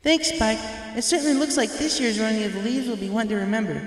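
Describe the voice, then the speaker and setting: A man voicing a bright, bookish female pony who co-commentates a race in a storybook reading, with an eager announcer delivery. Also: high-pitched, fast, very echoey, in a large hall, pitched above the performer's own voice, natural voice. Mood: bright announcing.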